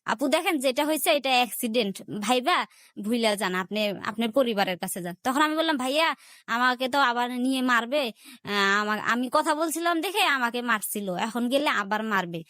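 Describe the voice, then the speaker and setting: A woman's voice: a little high, expressive, a little fast, fairly bright tone, dry recording. A woman with a Bangladeshi accent telling a personal life story as an interview guest, relaying remembered conversations in quoted dialogue. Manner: recounts events in quoted back-and-forth dialogue.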